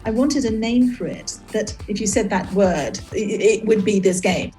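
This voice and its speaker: A woman who grew up speaking Swahili, a game inventor appearing as a guest, explains her thinking carefully. Her voice is mid-pitched, with soft music behind it. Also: moderate pace.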